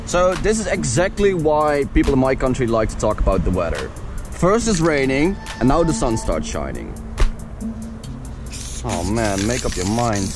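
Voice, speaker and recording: A man's voice: medium pitch, moderate pace. A young man from the Netherlands with a Dutch accent, chatting casually and joking while he paints graffiti outdoors. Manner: relaxed, chatty, joking asides. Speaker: graffiti artist and video creator. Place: outdoors by a wall among trees. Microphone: action camera. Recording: outdoor camera audio with music.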